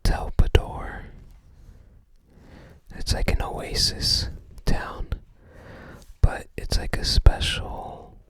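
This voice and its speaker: This is a fully whispered ASMR voice, hushed and breath-only. The host takes things very slowly, musing aloud in an unhurried, informal way. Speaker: ASMR host browsing a game guide book.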